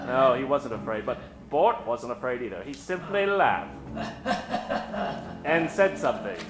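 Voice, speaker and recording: A man's voice: mid-range voice, lively intonation, unhurried, natural voice. A man playing a dramatic old-time radio narrator, telling a comic tale theatrically. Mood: suspenseful. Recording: echoey stage with music behind.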